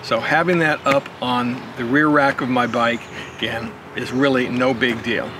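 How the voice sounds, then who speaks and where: A man's voice: mid-range voice, steady tone, moderate pace, background noise. An older man demonstrating his camping gear in a backyard, talking in a casual, step-by-step way that keeps circling back with "again" and "right". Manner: conversational, explains step by step with frequent "again" and "right". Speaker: motorcycle vlogger. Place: backyard lawn outdoors.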